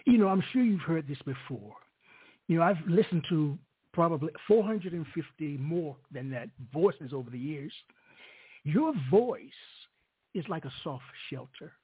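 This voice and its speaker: An effusive man hosts a radio interview, laughing easily between questions. His slightly high voice swings widely and sounds a little husky, squeezed through a narrow, phone-like line. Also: expressive, a little slow. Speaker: radio show host.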